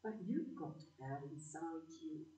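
An amateur actress plays an older woman, blunt but fond, telling a family tale for an audio play. Her voice sits a little low and she speaks slowly. It is recorded in a roomy space with plenty of echo. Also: lively intonation, warm tone. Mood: fond, reflective. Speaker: narrator and actor in a community audio play. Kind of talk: storytelling in a community radio play. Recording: roomy echo, distant microphone.

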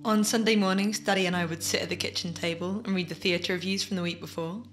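Actress doing a wistful daughter remembering, halting audio play monologue, lowish voice, guitar music behind. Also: female voice, fairly even intonation, lightly acted. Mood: fond, nostalgic. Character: wistful daughter recalling her adored theatre-critic father.

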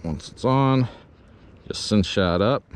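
A man's voice: on the low side, smooth. A man in his thirties explaining a bait rig and narrating his fishing from a pontoon boat outdoors, recorded on a chest-mounted action camera. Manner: slow, easygoing instruction turning excited when a fish bites. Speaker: fishing vlogger. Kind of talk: casual how-to narration while fishing.

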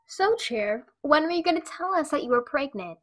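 Actress as a bright, high-voiced brash woman. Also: lively intonation, moderate pace. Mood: blunt, scornful. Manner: blunt, sarcastic. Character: brash, blunt, foul-mouthed young woman friend. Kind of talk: audio drama acting.

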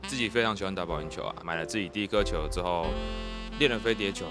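A man's voice: low-pitched, quick pace. A man talking earnestly about learning bowling as a student. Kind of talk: self-introduction and interview. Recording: loud background music.

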